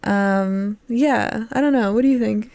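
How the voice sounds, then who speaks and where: A young woman with an average-pitched voice talks casually as a podcast co-host. Her delivery is informal and halting, full of little restarts, like chatting with friends about a book. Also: darkish tone. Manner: informal, halting, filler-heavy "like".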